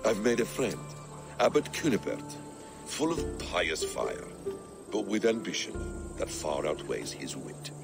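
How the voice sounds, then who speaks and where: A brooding, sly warrior-scholar from a video game. The voice sits low but moves expressively, with a sardonic, probing manner. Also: male voice, very animated, a little slow, creaky, over loud music, full character voice. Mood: scheming. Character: wry, shrewd, brooding male warrior-scholar, a sly schemer. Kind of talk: video game cutscene acting.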